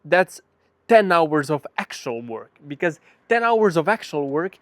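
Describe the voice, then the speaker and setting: A man's voice: fairly high pitch, very animated, measured pace, no room sound. A man from Serbia with a Serbian accent gives a casual motivational talk to camera, speaking bluntly and emphatically with frequent swearing. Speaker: vlogger.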